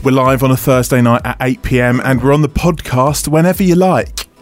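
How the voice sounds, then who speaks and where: A man hosting a local radio tech show, chatting loosely with his co-hosts. He trips over his own sentences, restarts them and laughs easily. His pitch sits in the middle of the male range, with faint music playing under the studio mic. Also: fairly even intonation, a little fast. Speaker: radio and podcast host. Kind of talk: casual studio chat. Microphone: studio microphone.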